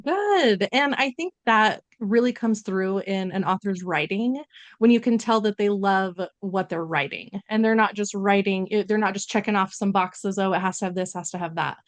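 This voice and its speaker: A woman's voice: mid-range voice, moderate pace, no room sound. A woman hosting a casual interview, upbeat and gushing as she responds to her guest. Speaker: podcast host.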